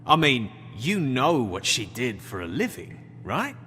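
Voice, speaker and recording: Bright, cocky, reverberant thug. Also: male voice, medium pitch, very animated, unhurried, a little hiss or hum. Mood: scornful. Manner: flippant, slangy, defensive. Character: cocky, evasive male street thug under questioning. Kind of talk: video game voice acting.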